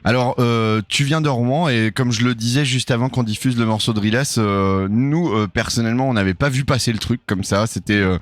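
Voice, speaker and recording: A man's voice: medium pitch, a little fast, hardly any pauses, dry recording. A male radio host interviewing a guest, talking casually with lots of hesitations and restarts. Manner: rambling, many hesitations and restarts. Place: radio studio.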